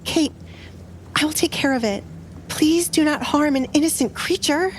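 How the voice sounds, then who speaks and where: Woman acting gentle, anxious, higher-pitched young woman. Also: normal speed, a little hiss or hum, lightly acted. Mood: anxious pleading. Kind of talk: audio drama storytelling.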